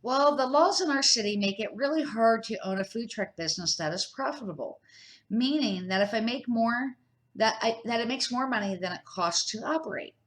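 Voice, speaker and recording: A woman voicing a friendly woman who owns a food truck, in a raised, bright reading voice. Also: on the high side, lively intonation, moderate pace, pitched above the performer's own voice.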